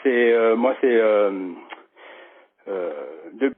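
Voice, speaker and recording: A man's voice: lowish voice, animated, very slow. Hesitant sixty-year-old man on a muffled phone. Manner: hesitant, stammering, repeats words. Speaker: customer on a sales call. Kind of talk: casual phone conversation. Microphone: telephone.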